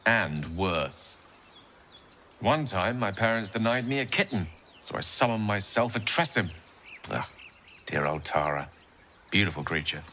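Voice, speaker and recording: A man's voice: lowish voice, expressive, a little slow, pauses often, sharp tone, slight background noise. A man playing an erudite, self-satisfied wizard with a British accent, chatty and wryly boastful, in a fantasy game. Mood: wry, nostalgic.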